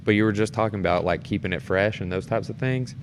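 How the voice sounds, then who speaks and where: Man, low voice, animated casual storyteller, guest performer, noticeable background noise. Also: quick pace. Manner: animated storyteller, acts out reactions. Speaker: guest, amateur stage performer. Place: temporary studio in a theatre. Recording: clear background noise and room ambience.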